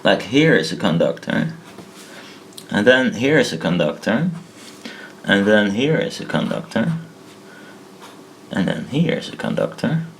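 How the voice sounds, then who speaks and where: Male hobbyist tutor, lowish pitch, very unhurried and halting, informal self-correcting delivery, noisy recording. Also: sing-song. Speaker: presenter of a hobbyist explainer video. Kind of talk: informal hand-drawn technical tutorial.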